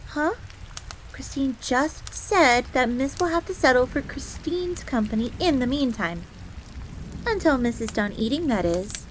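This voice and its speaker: A woman's voice: high voice, animated, unhurried, slight background noise, low-quality recording. A woman voicing a jealous, easily flustered young fox maid in a roleplay audio drama, speaking formally and referring to herself in the third person, with rain behind her. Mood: haughty, reluctant. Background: rain on a surface.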